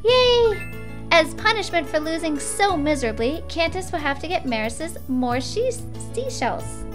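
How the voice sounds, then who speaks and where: Bubbly, mysterious young seaside girl for a visual novel, played by a woman; very high, animated, music underneath. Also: a little slow, full character voice. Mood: playful, triumphant. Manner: bubbly, speaks of herself in third person. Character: cheerful, childlike, energetic mysterious seaside girl.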